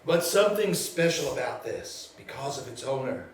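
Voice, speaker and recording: A man's voice: mid-range voice, sing-song, moderate pace, recorded from a distance, close to an everyday voice. A man playing a sly, folksy lawyer friend in a live screenplay table read. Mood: conspiratorial. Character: folksy, sly male lawyer friend pitching a cursed pick. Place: live stage venue. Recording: echoey, noisy live stage recording from afar.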